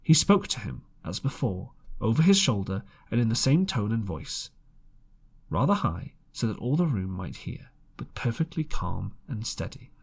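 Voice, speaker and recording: A man reading an adventure storybook aloud in an expressive amateur style, dramatic and slightly halting. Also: fairly low pitch, gravelly, husky. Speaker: audiobook reader.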